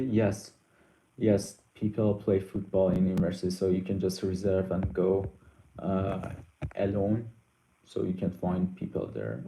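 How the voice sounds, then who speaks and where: A young man whose first language is Persian hosts a casual live Q&A. He reads out viewer questions and answers them haltingly, with many ums and restarts. His voice sits a little low and sounds mellow, and the room around him has a noticeable echo. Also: gravelly, a little hiss or hum.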